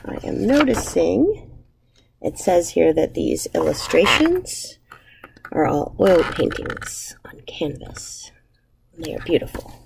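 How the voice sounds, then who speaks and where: A woman in her thirties, an elementary teacher reading a picture book aloud to children with measured, expressive storybook phrasing. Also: fairly low pitch, gravelly, in a whisper, poor audio. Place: indoors, empty school classroom.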